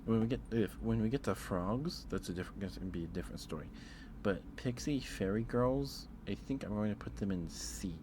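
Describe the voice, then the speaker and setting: A man's voice: on the low side, a little fast, background noise. A man streaming ASMR in a casual, hesitant way, repeating his phrases as he thinks aloud. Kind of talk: ASMR livestream, casual chat ranking things.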